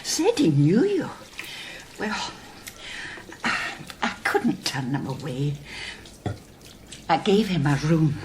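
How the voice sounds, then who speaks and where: A woman's voice: deep voice, wildly swooping pitch, slow, pauses often, background noise, full character voice. An actress playing a chatty, solicitous older mother in a radio drama, with a homely, gossipy manner and a Scottish accent. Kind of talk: naturalistic radio drama acting.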